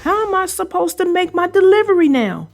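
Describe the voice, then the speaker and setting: Big male storybook steam engine, grave, fretting, expressive, voiced by a woman. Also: extremely high pitch, brisk, gravelly, faint music behind, pitched above the performer's own voice, heavily acted. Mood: exasperated.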